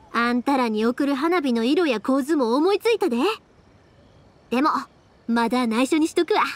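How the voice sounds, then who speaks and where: Cheerful young woman, high pitch, Kansai accent, caring, colloquial, anime game dialogue. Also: animated, a little slow, long pauses. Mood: caring, encouraging. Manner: friendly, earnest, colloquial. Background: music.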